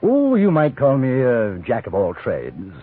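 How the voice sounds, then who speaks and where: An actor playing a polite, educated English gentleman reporter, with an English accent and a dryly understated manner, in a 1950s radio western. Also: medium pitch, wildly swooping pitch, unhurried, a crowd in the background. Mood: dryly unruffled. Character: composed, educated English gentleman reporter turned frontier adventurer. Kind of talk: 1950s radio western acting and first-person narration. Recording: muffled old radio recording with music.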